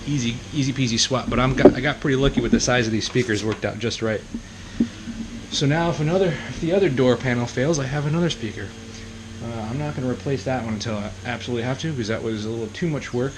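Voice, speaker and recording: A man mumbles through a car repair for his vlog, half to himself and half to the camera. His pitch sits in the middle range. Heavy background noise from the work around the car fills the recording. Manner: muttering running self-talk while working with tools. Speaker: DIY car vlogger. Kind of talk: casual working commentary to camera. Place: working on a car, near a lawn being mowed.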